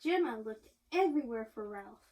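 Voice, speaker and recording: A woman reading a picture book aloud to young listeners in an animated way, chatting and asking them questions as she goes. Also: fairly high pitch, normal speed. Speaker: reader of a picture book to children. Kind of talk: read-aloud storytelling with chat to young listeners.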